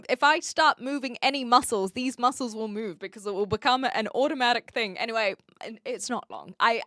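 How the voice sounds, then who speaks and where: A woman's voice: a little high, sharp tone, dry recording, studio quality. A woman from New Zealand with a neutral mixed Australian-British accent, chatting casually and playfully to livestream viewers with careful articulation. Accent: neutral Australian-British mix. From New Zealand, later Australia and the UK. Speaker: livestream host.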